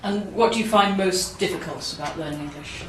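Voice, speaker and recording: A woman's voice: on the low side, fairly quick, a little hiss or hum. Woman examiner reading brief questions. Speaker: speaking examiner. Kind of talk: scripted exam questions. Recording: echoey room, mic far off.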